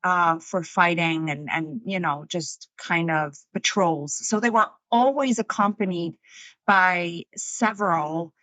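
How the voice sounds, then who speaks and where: A woman's voice: fairly low pitch, slow, fairly bright tone, no echo. A woman in her thirties from Switzerland, a documentary filmmaker talking earnestly and repeating words as she thinks. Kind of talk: casual interview chat.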